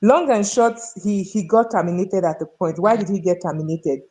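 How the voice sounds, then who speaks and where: A woman's voice: mid-range voice, normal speed, dark tone, breathy edge. A woman with a Nigerian accent telling stories and giving opinions in a discussion, emphatic and fond of rhetorical questions. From North Central Nigeria. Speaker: human resources professional, talk show guest. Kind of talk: conversational storytelling and opinion in a discussion.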